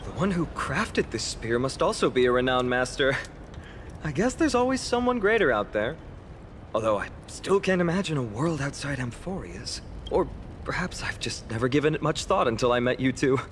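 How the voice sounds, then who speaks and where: An actor playing a modest, duty-bound young warrior in a video game, speaking politely in measured, earnest phrases. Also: male voice, medium pitch, very animated, fairly bright tone, a little hiss or hum. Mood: earnest, courteous.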